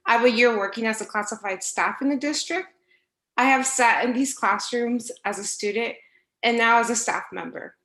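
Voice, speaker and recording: A young woman speaks in an earnest, measured way, reading a prepared statement to a board. Her first language is Spanish. Her voice sits a bit high and rings in a roomy, echoey space. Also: a bit bright. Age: young adult. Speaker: instructional assistant.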